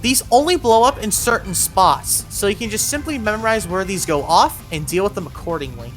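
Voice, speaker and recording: A woman's voice: mid-range voice, expressive, a little fast, soft background music, low-quality recording. A woman giving an instructional voiced game walkthrough, guiding the player step by step with words of caution. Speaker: video game guide host.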